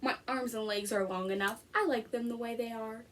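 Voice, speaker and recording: A woman's voice: fairly high pitch, a little fast, slight background noise, natural voice. A woman reading a storybook expressively as an anxious, literal-minded little boy. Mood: fretful. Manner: expressive storybook read-aloud.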